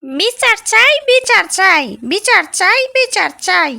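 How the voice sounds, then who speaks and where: A shrill, piercing cartoon villager, played by a woman, chanting in agitation. The voice is extremely high, bright and swoops around. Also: expressive, unhurried, vocal fry, heavily acted. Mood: demanding. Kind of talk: cartoon voice acting.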